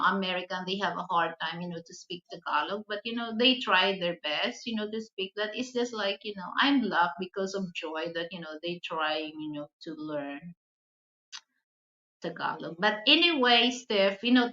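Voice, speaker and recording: A woman with a Filipino accent chats casually to her viewers in a bright, forward voice. She often circles back and repeats words as she builds a sentence, taking her time. The sound is roomy and somewhat dull. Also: medium pitch. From the Philippines. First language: Hiligaynon. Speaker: video channel host. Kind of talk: casual vlog chat with viewers.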